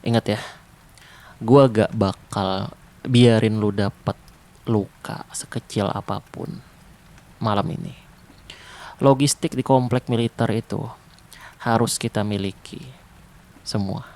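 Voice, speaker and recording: A man voicing a protective ex-special-forces survivor in a zombie apocalypse, talking softly and calmly in an ASMR roleplay. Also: fairly low pitch. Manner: soft, calm, unhurried, intimate. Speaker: roleplay voice actor.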